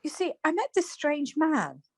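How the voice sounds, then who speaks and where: A woman's voice: sits high, wildly swooping pitch, unhurried, creaky, a little mellow, dry recording, studio quality, full character voice. A woman acting a scatty, easily exasperated wife in a domestic radio comedy. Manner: flustered, snippy.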